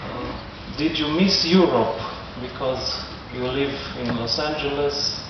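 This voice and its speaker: A man's voice: mid-range voice, slow. Middle-aged male host, formal interviewer, roomy distant handheld-mic sound. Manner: formal, structured questioning. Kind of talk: festival stage interview. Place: large festival tent lounge with audience. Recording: echoey, distant, dull with noise.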